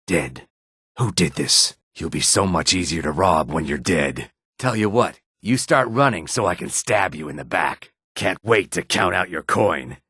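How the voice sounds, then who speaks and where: A man playing a gruff, wary, streetwise lizard-man in a video game, with a raspy, gravelly voice. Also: low voice, animated, measured pace, fairly bright tone, no room sound, heavily acted. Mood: sly, menacing. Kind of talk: video game character dialogue lines.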